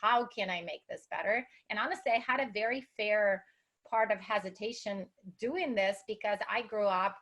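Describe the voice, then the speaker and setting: A woman's voice: medium pitch, normal speed, a bit bright. A woman from Russia with a Russian accent, speaking as an entrepreneur guest in an interview in an enthusiastic, motivational way. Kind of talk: interview conversation.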